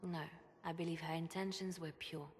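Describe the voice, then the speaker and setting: A woman's voice: sits low, fairly even intonation, moderate pace, natural voice. A woman playing a reflective, solemn former spymaster in a fantasy video game. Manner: solemn, introspective. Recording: echoing hall, faint ambient noise.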